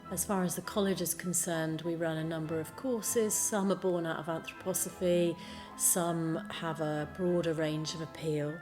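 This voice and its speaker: Low-pitched woman over music. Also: fairly even intonation, moderate pace. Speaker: college representative. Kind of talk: composed promotional talk. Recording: clear music behind the voice. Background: background music.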